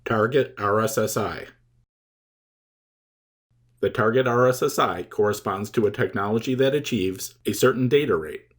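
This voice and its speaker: A man reading scripted instructional narration as a tutorial presenter, explaining things in a measured, step-by-step way. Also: fairly low pitch.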